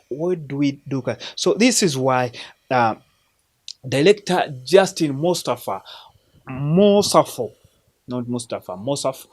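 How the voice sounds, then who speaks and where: A man's voice: medium pitch, darkish tone. A man from Uganda, hosting a film recommendation show in an earnest, preacherly way, often restarting his words. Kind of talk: casual spoken film review.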